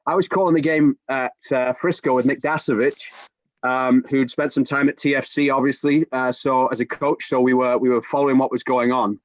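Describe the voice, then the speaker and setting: Brief: man, forties, soccer commentator, animated storyteller, dry room, muffled webcam sound. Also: medium pitch, fairly quick, no echo. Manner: animated anecdote telling with many restarts. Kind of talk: casual storytelling on a video-call podcast. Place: home room with bookshelves. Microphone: video call webcam. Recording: dull, dry video-call audio.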